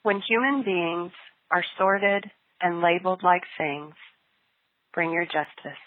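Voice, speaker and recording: A woman's voice: on the low side, slow, no echo. A woman leading a guided spoken prayer. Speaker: prayer leader. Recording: thin phone-like sound.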